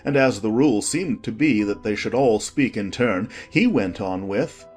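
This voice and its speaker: A man narrating a children's storybook in an expressive, scripted audiobook style. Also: fairly low pitch, moderate pace, husky, faint music behind.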